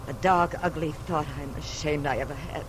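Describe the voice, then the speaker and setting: An actress playing a plain, devoted rich wife, a woman who speaks in a halting, earnest, flustered way in 1950s radio drama style. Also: steady tone, natural voice. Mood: defensive, ashamed. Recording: noisy old radio broadcast over vehicle rumble.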